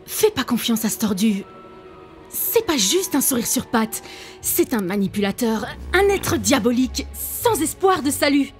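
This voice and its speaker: Woman playing a wary, high-voiced young demon woman. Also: big pitch swings, measured pace, soft background music. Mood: wary, urgent. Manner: earnest, dramatic warning. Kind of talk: animated cartoon fan dub acting.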